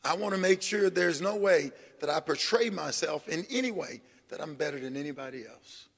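An older man preaching a sermon, telling humorous stories in a folksy, animated way and acting out the voices of people he quotes. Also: fairly high pitch, fairly quick, slightly rough, in a large hall. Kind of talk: sermon storytelling.

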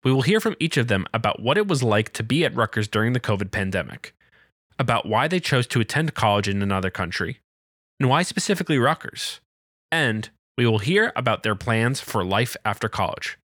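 A man hosting a podcast, reading his introductions from a script in a steady way. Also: medium pitch, fairly quick, dry recording, clean studio sound. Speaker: podcast host.

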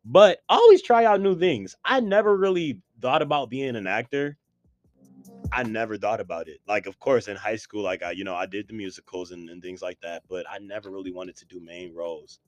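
A man's voice: lowish voice, brisk. A man in his twenties co-hosting a podcast, chatting casually and hyping up listeners with slang. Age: 20s. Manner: animated, hype-man slang, addresses listeners as y'all. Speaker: podcast co-host.

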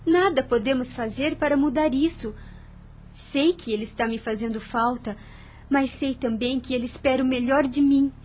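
An actress playing a gentle but firm, loyal young woman in a radio soap, speaking in an earnest, formal way. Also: high voice, moderate pace, a little hiss or hum, close to an everyday voice. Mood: firm, indignant. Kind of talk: radio soap opera acting.

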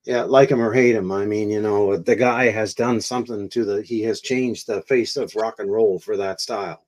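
A man's voice: lowish voice, steady tone, moderate pace, a little mellow. A man talking as a guest in a casual chat, rambling with lots of fillers and eager agreement.

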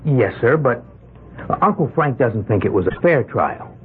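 A timid, strangely calm young man accused of murder in a 1970s radio mystery. He answers haltingly, and his voice swings up and down in pitch. The sound is thin and lo-fi, like an old broadcast with a faint hiss. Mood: meek. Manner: meek, hesitant, oddly calm about his fate. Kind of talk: 1970s radio drama acting.

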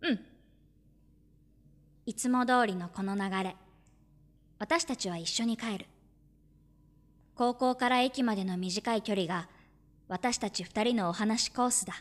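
Woman acting shy, high-voiced high school girl. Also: a little slow, long pauses, close to an everyday voice. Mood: tender, wistful. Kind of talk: Japanese audio drama acting with first-person narration.

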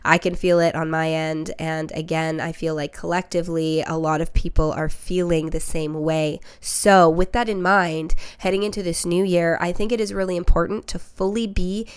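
Young woman podcaster, a bit nasal from being sick, smooth lower voice, earnest and encouraging toward the listener. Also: steady tone, normal speed, few pauses. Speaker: podcast host and spiritual reader. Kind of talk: solo reflective podcast monologue.

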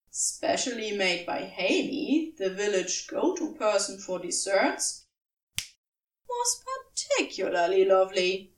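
A woman reading scripted fiction as an audiobook narrator, with a measured, storybook-like delivery. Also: on the high side, recorded from a distance, low-quality recording. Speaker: fan fiction audiobook reader.

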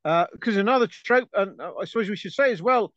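A chatty man talking films in a relaxed podcast chat, laughing easily and losing his thread in "um"s. His voice sits a little high. It comes through a dull, lo-fi mic with no room sound at all. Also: a little fast, no room sound. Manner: chatty, laughs often, trails off with fillers. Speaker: podcast co-host. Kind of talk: casual film discussion.

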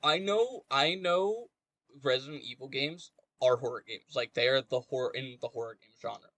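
A man's voice: mid-range voice, normal speed, a bit bright, dry recording. A man in a casual, argumentative chat about games, speaking emphatically and swearing freely. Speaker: podcast co-host.

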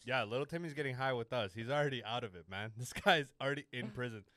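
A young man hosts casual banter, teasing a stranger with crude jokes. His voice sits in a middle pitch and the sound is dry and close, with no room around it. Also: quick pace, fairly bright tone, no echo. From Canada. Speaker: host of a stream chatting with strangers.

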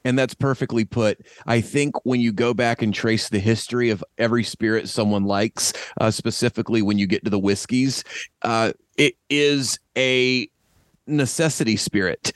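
A man with an American accent hosting a casual chat, eager and animated as he responds to his guest. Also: mid-range voice, moderate pace, no room sound, clean studio sound. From United States. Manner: enthusiastic, animated, affirming. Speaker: podcast host.

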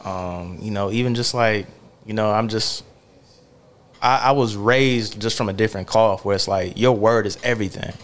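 A man's voice: fairly low pitch, fairly even intonation, moderate pace. A man hosting a podcast, talking in a loose, rambling way full of filler words and restarts. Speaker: podcast host. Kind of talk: casual podcast conversation.

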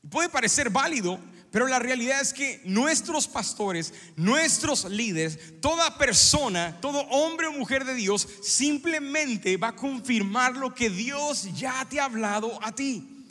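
A middle-aged man preaching a sermon as a pastor, animated and joking, acting out little scenes as he talks. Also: very high voice, a little slow. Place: church sanctuary. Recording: long hall reverb in a church.

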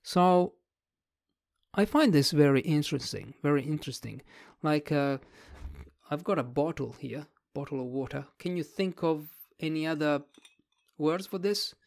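Writing vlogger, mid pitch, dry close sound, musing and word-searching. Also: moderate pace, creaky, a little mellow, no room sound. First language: Italian. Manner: thinks aloud, searching for words. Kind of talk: casual explanatory talk about a book.

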